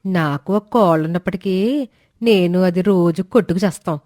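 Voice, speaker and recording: A woman's voice: lowish voice, fairly quick, a little mellow, dry recording, clean studio sound, close to an everyday voice. A woman voicing a scheming, envious middle-aged aunt who stirs up quarrels in a family story. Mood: spiteful.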